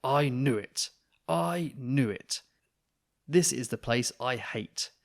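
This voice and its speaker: A man's voice: medium pitch, lively intonation, slow, creaky. A man voicing an indignant female family cat in a storybook, huffy and complaining. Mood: dismayed.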